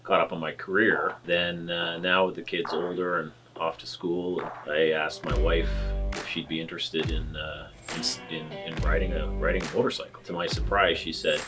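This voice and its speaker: A man in his fifties from Canada, talking reflectively in a documentary interview, often pausing and restarting his phrases. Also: sits low, little pitch movement, music in the background. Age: 50s.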